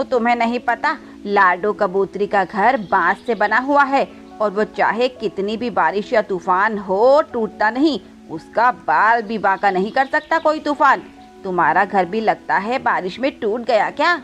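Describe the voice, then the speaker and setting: A woman's voice: mid-range voice, lively intonation, a little slow, fairly bright tone, soft background music. A woman voicing a chatty, helpful male parrot neighbour in a cartoon. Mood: friendly, animated talk.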